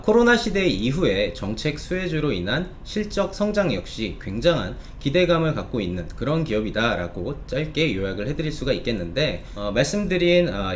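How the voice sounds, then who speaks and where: Lively higher-pitched man presenting stock picks. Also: a little hiss or hum. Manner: polite formal address, drawn-out hesitations between phrases. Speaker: stock review show host.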